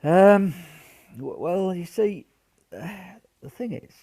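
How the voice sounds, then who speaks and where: A man's voice: sits high, lively intonation, slow, warm tone, exaggerated voice. A man playing a sheepish but reassuring wartime grandfather in an amateur radio play, hesitant and repeating himself. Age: older. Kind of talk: amateur radio drama acting.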